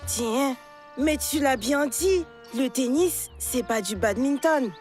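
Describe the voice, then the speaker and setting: A woman playing an excitable, supportive child in a French cartoon dub. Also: high-pitched, slow pace, over music. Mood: puzzled.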